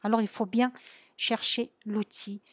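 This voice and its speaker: A woman's voice: mid-range voice, little pitch movement, a little slow, breathy edge, no room sound. A woman teaching a French grammar lesson. She asks her students questions and answers them herself, stressing the key words. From Algeria.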